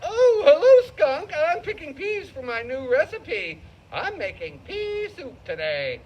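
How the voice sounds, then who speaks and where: A high-pitched, swooping boy rabbit from a kids' puppet show, played by a woman. He is an eager, neighborly chatterbox. There is faint noise behind the voice. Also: big pitch swings, takes it slowly, dull audio, heavily acted. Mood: breezy. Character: cheerful, friendly male rabbit, eager home cook. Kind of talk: children's puppet show storytelling.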